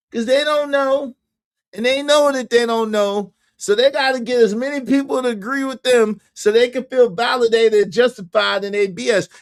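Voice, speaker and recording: A man around fifty hosting a casual livestream, chatting animatedly with viewers, laughing and reacting to their comments. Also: extremely high pitch. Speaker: livestream host.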